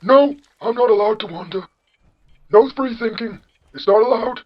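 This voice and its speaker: A man's voice: sits high, normal speed, highs cut off, pitched above the performer's own voice. A man voicing a cranky, indignant old synth with a blustering, exaggerated generic old man voice in a comedy skit. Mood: rigid, obedient. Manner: blustering, repetitive, scolding.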